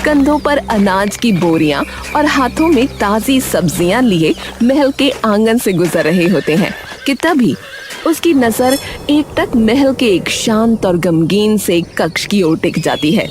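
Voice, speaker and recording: A woman narrates an animated tale from a script. The voice sits at a medium pitch and moves expressively, with soft music underneath. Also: measured pace. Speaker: story narrator.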